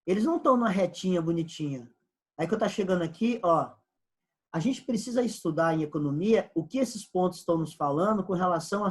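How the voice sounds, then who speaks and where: A man with a Brazilian Portuguese accent teaching an economics lesson, explaining step by step and repeating key points. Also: sits high. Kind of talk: recorded online class lecture.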